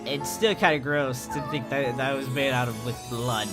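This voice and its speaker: Very low-pitched woman giving casual reaction commentary. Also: steady tone, normal speed. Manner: casual, sweary, interjecting. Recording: cartoon soundtrack and music behind.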